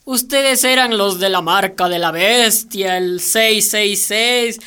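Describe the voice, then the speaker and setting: An actress playing a principled, unflappable community radio coordinator, wry and firm, in a radio drama. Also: female voice, medium pitch, slow pace, sharp tone, clear, resonant tone.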